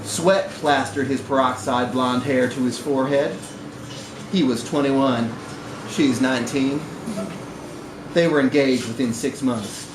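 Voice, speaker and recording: A man's voice: mid-range voice, a little slow. A man reading a short story aloud expressively at a live event, indoors in a bar. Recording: roomy, noisy live bar recording.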